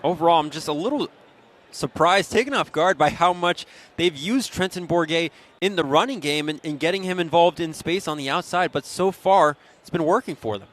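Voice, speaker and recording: A man with a fairly high voice provides color commentary on a live football broadcast. He talks conversationally, explaining what happened and why. He readily adds his own opinions on the play calls. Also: moderate pace, a bit bright. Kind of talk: live sports analysis.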